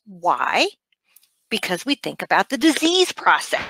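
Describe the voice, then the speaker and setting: A middle-aged American woman teaching an online class in an informal, conversational, halting way, checking in with listeners as she goes. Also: mid-range voice, big pitch swings, fairly bright tone, breathy edge, no echo. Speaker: medical coding instructor. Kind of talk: informal webinar teaching with screen walk-through. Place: small indoor room, webcam online session.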